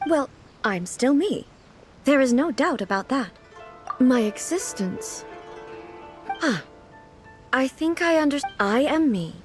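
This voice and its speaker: A woman voicing a dignified, sheltered female deity in an anime game, speaking formally, measured and earnest. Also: high-pitched, animated, faint music behind. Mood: thoughtful.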